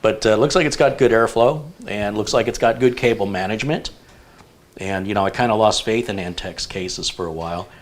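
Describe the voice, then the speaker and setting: A man hosting a live stream, chatting casually and thinking aloud with relaxed fillers. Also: on the low side, a little fast. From United States. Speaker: show host.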